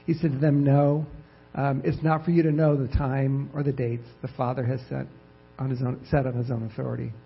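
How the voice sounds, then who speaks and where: A soft-spoken man giving a lay sermon as his church's missions president, halting with fillers and repeated words. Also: medium pitch, level tone, gravelly, breathy edge. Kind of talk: lay sermon with scripture reading. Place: church sanctuary. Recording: dull lo-fi church recording.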